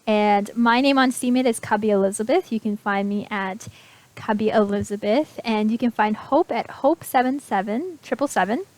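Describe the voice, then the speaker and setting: A woman's voice: on the high side, moderate pace, a little mellow. A woman hosting an internet radio show, chatty and bubbly, laughing often as she talks. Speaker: host. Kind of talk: casual internet radio chat.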